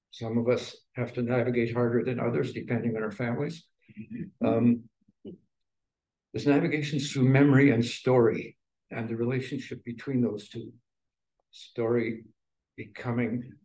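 An older man from Manitoba, Canada, a poet speaking informally and reflectively at a reading, pausing between short phrases. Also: medium pitch, a little mellow, rough recording. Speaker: featured poet. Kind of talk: informal explanatory talk at a poetry reading.

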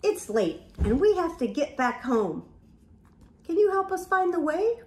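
A woman's voice: very high voice, unhurried, echoey room. An older woman reading a picture book aloud to young children, with gasps and whispers, recorded at home in front of a camera. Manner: animated storytelling with gasps and whispers. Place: home room, indoors.

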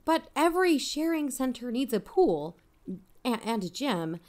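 A woman's voice: high voice, very animated, unhurried, darkish tone. A woman playing an inquisitive, matter-of-fact podcast investigator in a mock-documentary audio drama. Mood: probing.

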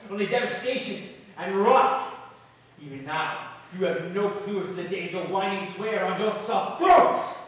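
A man's voice: high-pitched, lively intonation, normal speed, a bit bright. An actor playing a fiery male revolutionary in disguise as a duke, ranting scornfully in theatrical declamation. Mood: furious. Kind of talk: audio drama acting. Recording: echoey hall, voice from far off.

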